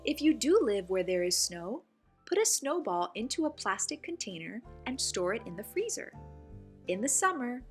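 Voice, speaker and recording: A woman reading a picture book aloud for young children, with expressive, clearly phrased delivery as the host. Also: fairly high pitch, moderate pace, faint music behind. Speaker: children's story reader and host.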